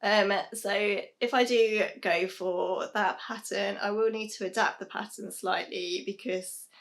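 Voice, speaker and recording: A young British woman talking casually to camera about sewing, a little hoarse from losing her voice, chatty with frequent ums and soft laughs. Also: medium pitch, fairly even intonation, unhurried. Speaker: sewing vlogger. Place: small home sewing room, indoors.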